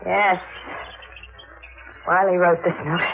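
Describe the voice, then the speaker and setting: An actress playing a taunting, hard-edged femme fatale in a 1950s radio thriller. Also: female voice, on the low side, flat delivery, takes it slowly, vocal fry. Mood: tense. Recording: tinny old radio recording with music.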